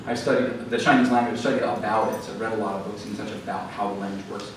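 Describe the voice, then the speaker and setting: A man answers audience questions at a library event, talking quickly and explaining at length with plenty of ums and restarts. He is heard from a distance in a very echoey hall, with steady room noise underneath. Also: on the low side. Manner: thoughtful, explanatory, frequent fillers and restarts. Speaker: author speaking at a library event.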